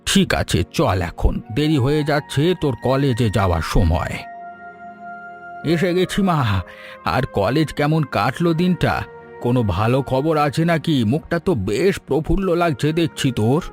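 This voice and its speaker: A man playing a proud, caring old grandfather in an animated storybook cartoon, affectionate and full of advice. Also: a little high, normal speed, close to an everyday voice. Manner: affectionate, advising. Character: caring, proud old grandfather who runs a paratha shop. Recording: music bed under the voice.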